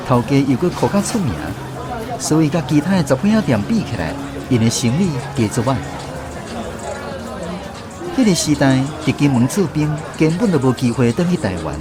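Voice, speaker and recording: A man with a Taiwanese accent narrating a scripted radio drama as its storyteller. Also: medium pitch. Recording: music under the voice. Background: music.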